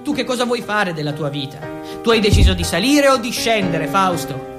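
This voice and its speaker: A man's voice: sits high, lively intonation, brisk, over music, pitched below the performer's own voice. A man playing a fervent, solemn preacher who exhorts insistently in repeated refrains. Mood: solemn, exhorting. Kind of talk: theatrical sermon monologue.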